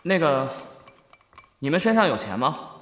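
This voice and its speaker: A man's voice: fairly high pitch, sing-song, moderate pace, fairly bright tone. A man playing a shrewd young male Taoist disciple in an audio drama, recorded with echoing reverb. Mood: sly, bossy. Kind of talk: Chinese audio drama acting. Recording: echoing reverb, music behind.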